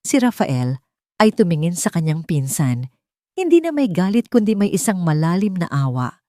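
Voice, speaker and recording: Female narrator for dramatic fiction, lower-pitched voice, close dry recording. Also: sing-song, normal speed, mellow, dry recording. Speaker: story narrator.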